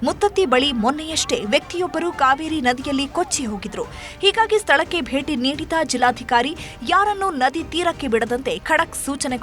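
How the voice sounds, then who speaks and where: A woman's voice: sits high, fairly bright tone. A woman narrating scripted news in an emphatic, dramatic way. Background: music bed.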